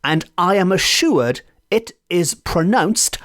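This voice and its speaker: Lively man telling folklore. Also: high-pitched, takes it slowly. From Wales. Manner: lively, playful storyteller who stretches out key words. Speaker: podcast host. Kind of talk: folklore storytelling podcast. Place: home in a residential area.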